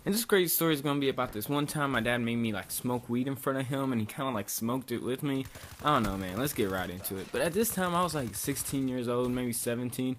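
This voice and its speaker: A man's voice: medium pitch, expressive, fast, a little hiss or hum. A young man from Bosnia casually telling a rambling, profane story, full of "like" and swearing. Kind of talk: casual storytime over gameplay.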